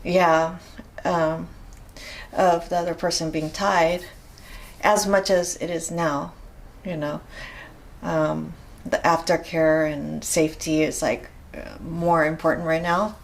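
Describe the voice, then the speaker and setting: A woman's voice: low-pitched, little pitch movement, takes it slowly. A middle-aged woman from Japan with a Japanese accent, speaking hesitantly with many fillers as a guest in a casual interview. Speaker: interview guest, rope bondage practitioner.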